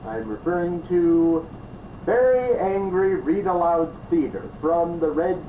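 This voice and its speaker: A man's voice: fairly high pitch, animated, takes it slowly, lo-fi sound. A man hosting a review show, giving improvised commentary. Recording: roomy, distant mic, clearly noisy.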